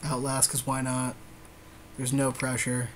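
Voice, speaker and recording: A man's voice: mid-range voice, completely flat delivery, unhurried, a little hiss or hum. A man co-hosting a card game podcast, giving casual commentary and banter on the play.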